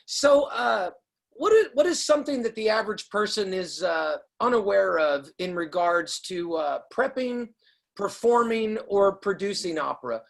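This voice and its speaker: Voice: medium pitch, animated, moderate pace, gravelly. An interviewer asking questions in a casual style, hesitant and searching for the right words.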